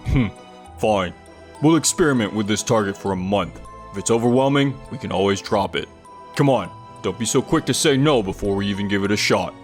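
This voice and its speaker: A demanding office boss, acted by a man in a manga dub, with music behind. The voice sits fairly low, firm and authoritative. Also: a little fast, natural voice. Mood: firm, conceding. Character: demanding, numbers-driven male sales manager. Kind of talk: English manga dub acting.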